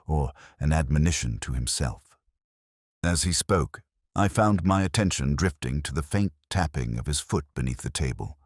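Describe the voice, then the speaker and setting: A man with an extremely deep voice narrates a detective story in the first person. His delivery is measured and brooding, with literary phrasing and a wide, lively pitch movement. The sound is dry, with no room around it. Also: dry recording. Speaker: audiobook-style narrator of a detective mystery.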